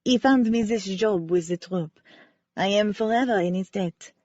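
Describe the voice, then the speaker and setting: A woman voicing a petite female ballerina who speaks in formal, halting English with stiff, careful phrasing, in an audiobook. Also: mid-range voice, darkish tone, no room sound, dull audio, natural voice. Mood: earnest.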